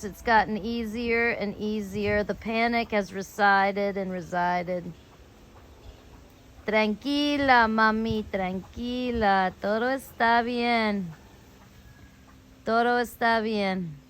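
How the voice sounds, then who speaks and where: Casting: American woman host, high voice, leisurely drawn-out speech, informal personal storytelling. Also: steady tone, fairly bright tone. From United States. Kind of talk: casual rambling storytelling.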